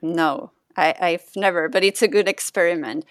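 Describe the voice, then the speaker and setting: A woman from Mexico whose first language is Spanish speaks as a podcast guest. Her voice sits a little low, and her delivery is thoughtful and gentle, with frequent light laughter. The recording is dry and close. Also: normal speed, no room sound. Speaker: podcast guest, yoga teacher and health coach. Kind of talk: casual interview conversation.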